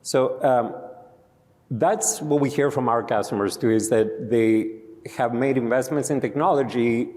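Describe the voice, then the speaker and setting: A man's voice: mid-range voice, unhurried, darkish tone. A man from Greece with a Greek accent, a company founder explaining his product and telling a customer story on a conference panel. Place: conference stage. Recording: reverberant conference hall.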